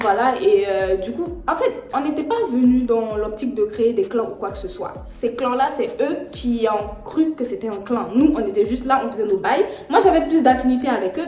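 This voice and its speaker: A young woman tells a story in a slangy, animated way, her voice a little high. Her voice rings in an echoey room. Faint music plays underneath. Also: brisk, hardly any pauses. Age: young adult. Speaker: vlogger. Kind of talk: storytime vlog.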